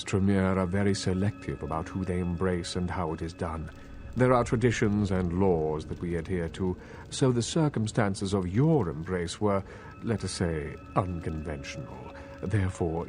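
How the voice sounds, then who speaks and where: An actor playing a courteous, scholarly vampire regent in a video game, speaking formally with measured, old-fashioned politeness. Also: male voice, sits low, darkish tone, close to an everyday voice.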